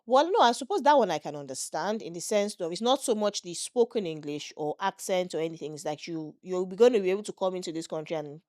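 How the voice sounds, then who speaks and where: A woman's voice: fairly low pitch, animated, fast talker, hardly any pauses, darkish tone, dry recording, studio quality. A middle-aged woman with a Nigerian accent, co-hosting a casual podcast and steering the talk to new topics. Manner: conversational, steers topics, checks in with "do you get what I mean". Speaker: podcast co-host. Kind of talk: casual chat.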